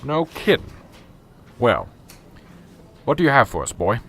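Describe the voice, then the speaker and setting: A man voicing a gruff, impatient male police sergeant in an amateur radio drama, curt in manner. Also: mid-range voice, huge pitch swings, unhurried, a bit bright, slight background noise, heavily acted.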